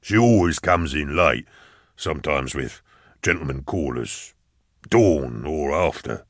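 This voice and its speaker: A male narrator performs an old, overweight caretaker for an audiobook. The voice is low and gruff, that of a man in his sixties who once soldiered and still guards his floor. The sound is dry, with no room around it. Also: expressive, slow, dry recording, full character voice. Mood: chatty, respectful. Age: 60s. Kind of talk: audiobook character dialogue.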